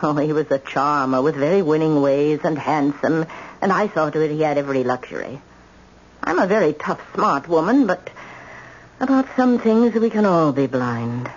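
Tough older widow, noisy radio recording. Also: female voice, deep voice, animated, moderate pace, dull audio. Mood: grave, confiding. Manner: dramatic, emphatic storytelling. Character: tough, proud widowed grandmother confessing family tragedy. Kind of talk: old-time radio drama acting.

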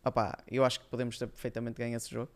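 A man with a European Portuguese accent chats in a clear, smooth voice that stays very even in pitch. He reminisces casually, feeling his way through loose, hesitant phrases. He comes across as a guest on a podcast. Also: racing. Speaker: podcast guest, former esports player.

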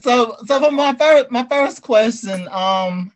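A man's voice: extremely high pitch, expressive, slow pace, slight background noise. A man with an American accent, hosting a podcast in a casual group chat style. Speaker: podcast host.

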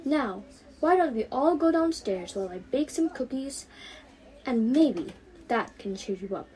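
A woman's voice: high voice, lively intonation, unhurried, darkish tone. A teenage woman voicing a caring, cheerful aunt with a coaxing, motherly manner in a storybook. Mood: coaxing, kind. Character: caring, cheerful aunt who looks after a girl.

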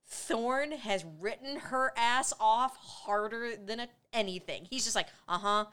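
A woman's voice: fairly high pitch, measured pace, fairly bright tone, smooth. A woman co-hosting a podcast, recapping an old film in casual chat, laughing often and acting out characters' lines. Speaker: podcast co-host.

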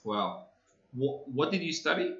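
A man's voice: medium pitch, sing-song, a little slow, fairly bright tone, echoey room, lo-fi sound. A man hosting a podcast interview casually, with short reactions and stumbling repeats. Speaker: podcast host.

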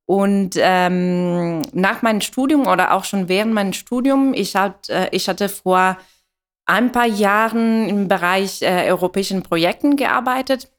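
A woman's voice: mid-range voice, unhurried. A woman with an Italian accent answering as an interview guest, hesitantly searching for words in a language that is not her first. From Italy. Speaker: interview guest, coffee business founder.

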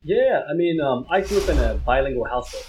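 A man's voice: a little high, lively intonation, quick pace, a little mellow, a little hiss or hum. Laid-back American man, easygoing chat. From United States. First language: Vietnamese. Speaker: podcast co-host. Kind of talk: casual chat.

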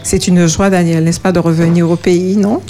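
Lively woman radio co-host. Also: lowish voice, normal speed, slight background noise. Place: radio studio.

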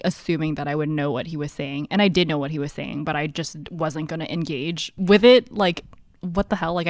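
A woman's voice: low-pitched, no echo, studio quality. Chatty young woman podcast co-host. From Hong Kong. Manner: chatty, heavy use of "like", storytelling. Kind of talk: casual podcast chat.

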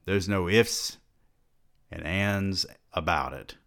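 A man reading aloud and voicing a stern, domineering older male college president who interrogates and scolds loudly. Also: deep voice, slow pace, vocal fry, exaggerated voice. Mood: scolding. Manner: loud, scolding, contemptuous.

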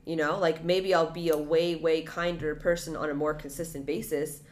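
Chatty woman with a lowish voice. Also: normal speed. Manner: animated, rambling, jumps into exaggerated quoted voices. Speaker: podcast co-host. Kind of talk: casual chat about a TV show.

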